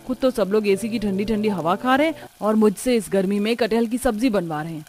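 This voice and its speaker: A woman's voice: mid-range voice, brisk, darkish tone, soft background music, close to an everyday voice. A woman voicing a weary, put-upon young daughter-in-law in a family cartoon, plaintive but polite to her elders. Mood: weary grumbling. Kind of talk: Hindi family cartoon voice acting.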